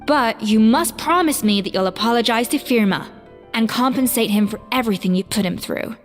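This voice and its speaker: A woman's voice: on the high side, fairly quick, faint music behind, lightly acted. Woman as an earnest young game heroine, reverberant. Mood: resolute, composed. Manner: dramatic, earnest, firm, sighing. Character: earnest, proud young women of a cooking contest team. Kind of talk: video game voice acting.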